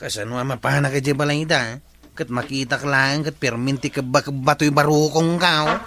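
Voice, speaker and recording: A man's voice: medium pitch, steady tone, fairly quick, background noise, lightly acted. An actor with an Ilocano accent playing a lovesick, bashful man in a comedy drama, talking in a plaintive, conversational way.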